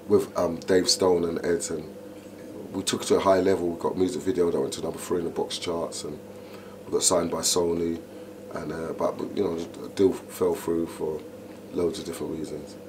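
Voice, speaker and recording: Very low male voice, middle-aged, chatty and animated, clear background noise. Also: normal speed. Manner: animated, repeats "you know" and "do you understand". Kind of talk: interview, casual storytelling.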